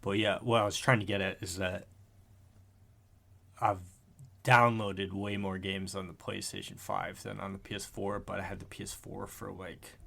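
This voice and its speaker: A man's voice: low voice, little pitch movement, moderate pace, gravelly, bright, forceful tone. A man in his thirties co-hosting a podcast, chatting casually at home, rambling and correcting himself as he goes, with an occasional swear. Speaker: podcast co-host. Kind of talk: casual chat. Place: home room.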